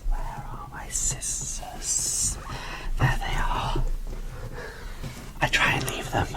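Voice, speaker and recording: A woman whispering an ASMR unboxing commentary in a chatty, informal, gushing way. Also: slow pace. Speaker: video creator presenting a doll unboxing.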